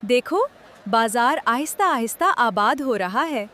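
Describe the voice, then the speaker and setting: A woman voicing a kind, caring female vegetable in a children's cartoon, with a gentle, reassuring manner. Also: on the high side, animated, soft background music. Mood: kind, earnest. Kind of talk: children's cartoon moral story dialogue.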